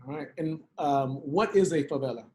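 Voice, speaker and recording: Male interview host, slightly higher pitch, friendly and conversational. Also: normal speed, a little mellow, slight background noise. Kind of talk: interview questions.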